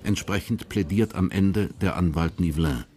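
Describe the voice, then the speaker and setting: A man narrating a period crime radio drama in a sober, measured, literary style. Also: low voice, mellow.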